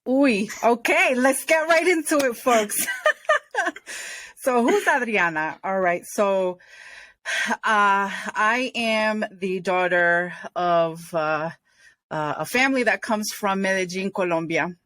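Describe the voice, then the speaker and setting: A woman's voice: medium pitch, takes it slowly, fairly bright tone, no echo. A woman in her forties from Rhode Island whose first language is Spanish, telling her story as a podcast guest with earnest enthusiasm. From Rhode Island, United States. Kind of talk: podcast interview.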